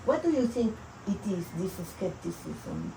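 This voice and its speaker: A woman's voice: medium pitch, huge pitch swings, a little slow. Woman interviewing, earnest, little grammar slips, echoey room, a bit far from the mic. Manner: non-native English with small grammar slips, earnest. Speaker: interviewer and retreat facilitator. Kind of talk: interview conversation. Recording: echoey room, mic at a distance, faint noise.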